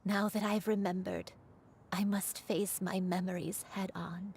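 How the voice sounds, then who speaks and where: A woman voicing a gentle, guilt-burdened old grandmother in a video game, speaking tenderly and formally like a storyteller. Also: mid-range voice, fairly even intonation, measured pace, creaky, a little hiss or hum. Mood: solemn, resolved. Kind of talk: dramatic video game voice acting.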